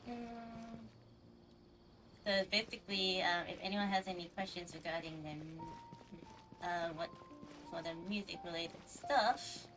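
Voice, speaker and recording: A woman game streamer chatting casually on a livestream in a hesitant, rambling way. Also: on the low side. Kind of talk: casual livestream chat over gameplay. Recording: distant microphone under game music. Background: game music.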